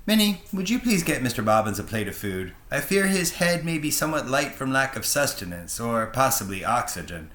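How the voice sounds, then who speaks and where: A man voicing a kindly, cautious older male professor who speaks gently and solicitously. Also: mid-range voice, very animated, a little fast, few pauses, echoey room. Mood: soothing.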